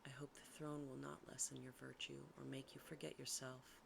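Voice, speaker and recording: A woman voicing a stately fairy lady who passes judgement in a hushed, solemn, formal manner. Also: rumbling low, flat delivery, normal speed, gravelly, background noise, heavily acted. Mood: solemn approval.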